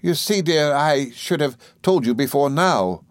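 A man's voice: fairly high pitch, animated, unhurried, dry recording, studio quality, pitched above the performer's own voice. A man voicing a kindly, flustered, motherly housekeeper in an audiobook, agitated, affectionate and faltering. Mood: agitated, breathless. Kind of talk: audiobook character dialogue.

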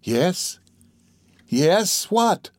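A man's voice: sits high, big pitch swings, measured pace, no room sound, pitched above the performer's own voice. A man voicing an impatient, greedy matron, demanding and urgent, in a storybook reading.

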